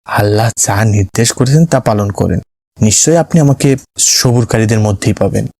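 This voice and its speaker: A man's voice: fairly low pitch, moderate pace, creaky, a little mellow, no echo. A man voicing a patient, devout father who explains and tells stories to his son in an Islamic cartoon. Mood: solemn storytelling. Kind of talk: Islamic cartoon dialogue, storytelling.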